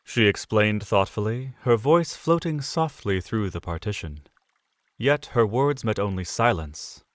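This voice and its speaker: A man narrating an audio drama in an expressive storytelling manner. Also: fairly low pitch, a little slow, dry recording.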